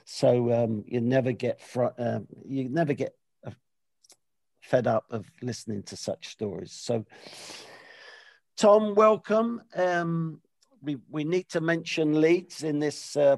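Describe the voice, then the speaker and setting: A man's voice: a little high, no room sound. A man hosting a podcast in a casual, rambling way, with many hesitations and restarts. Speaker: podcast host. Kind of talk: casual chat.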